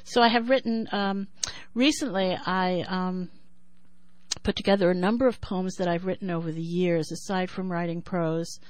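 A woman in her sixties with a New York accent who talks thoughtfully with many pauses and then reads her poem in a measured rhythm. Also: on the low side, steady tone, darkish tone, no room sound. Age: 60s. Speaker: poet and writer. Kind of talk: interview and poetry reading.

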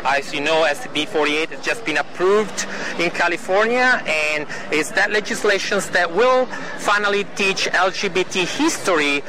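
A man giving an earnest, indignant first-person report outdoors at a harbor, halting and restarting as he talks. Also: high voice, lively intonation, crowd noise, rough recording. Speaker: citizen filming a video clip. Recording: outdoor video with traffic noise.

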